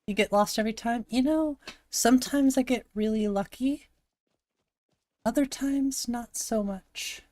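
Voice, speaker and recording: Gravelly-voiced woman streamer, dry close mic. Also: fairly high pitch, measured pace, warm tone, no room sound. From Montana, USA. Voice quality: gravelly, slightly raspy. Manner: chatty, thinks aloud, reads and answers chat. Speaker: game streamer. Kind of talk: casual live-stream commentary while playing.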